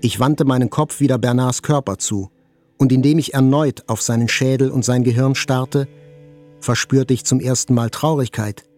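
An actor playing an attack survivor, telling events in a sober, precise, scripted literary narration. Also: male voice, mid-range voice, steady tone, normal speed, a little mellow, soft background music, lightly acted. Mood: sober, detached.

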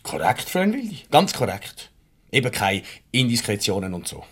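A patronising middle-aged Swiss German boss, halting and ingratiating in radio comedy style. His rough, husky voice jumps up and down a great deal. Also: lowish voice, sing-song, fairly bright tone, full character voice. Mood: defensive, pleading. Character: awkward, patronising married male boss with a secret. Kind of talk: light radio comedy play acting.

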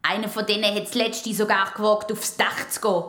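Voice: mid-range voice, steady tone, a little fast, bright, forceful tone, echoey room, natural voice. A performer playing a grumpy, strict male caretaker who scolds children, with a Swiss accent, in a children's radio play. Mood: angry, scolding.

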